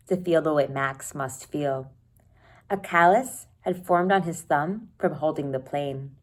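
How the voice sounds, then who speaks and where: A woman with a slightly low voice reads aloud as a teacher would from a children's novel. Her delivery is expressive and deliberate, setting out the story phrase by phrase.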